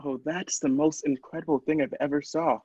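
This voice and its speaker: A man playing an earnest, easily amazed doctor in an old-style radio play, speaking with theatrical, halting wonder. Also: a little high, fairly even intonation, dark tone, no room sound, close to an everyday voice. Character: astonished, earnest male doctor visiting inventor. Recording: dull lo-fi, dry sound.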